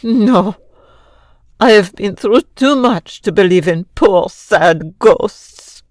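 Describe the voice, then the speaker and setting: A woman acts out a sorrowful wife of a mad composer in an old-time radio drama. She is a Frenchwoman who speaks very slowly in a mid-range voice, with formal, halting phrasing and a mournful weight. The sound is very dry. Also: mid-range voice, lively intonation, a little mellow, dry recording.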